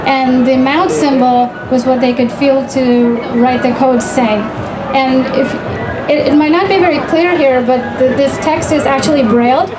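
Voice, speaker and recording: A woman's voice: sits high, normal speed, over loud music, low-quality recording. A middle-aged woman giving an encouraging, explanatory live presentation on a handheld microphone through a PA in an outdoor tent. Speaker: presenter, mother co-presenting with her child. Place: open-sided tent at an outdoor fair. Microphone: handheld microphone through PA, filmed from audience. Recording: outdoor PA speaker, clear background noise. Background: outdoor fair ambience.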